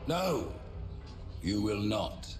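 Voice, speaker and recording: An actor playing a grave alien hierarch in a sci-fi game, delivering lofty, ceremonious and deliberate judgments. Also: male voice, on the low side, big pitch swings, heavy background noise, exaggerated voice. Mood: stern judgment. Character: stately alien high priest, grave, condemning hierarch. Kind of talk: cinematic sci-fi game acting.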